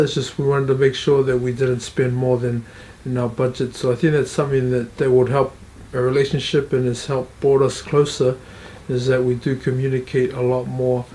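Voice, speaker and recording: A man's voice: mid-range voice, a little mellow, a little hiss or hum, low-quality recording. A middle-aged man, earnestly sharing his experience in an interview, often repeating and restarting phrases. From Tonga, raised in New Zealand. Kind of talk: testimonial interview.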